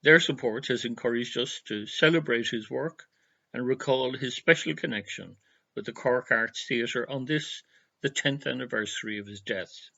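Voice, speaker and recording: An Irish man delivers a scripted introduction with literary formality. His voice is forward and bright, with a gravelly creak at the edges. The recording sounds a little dull and lo-fi. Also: medium pitch, normal speed. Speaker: presenter giving an introduction. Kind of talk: scripted tribute narration.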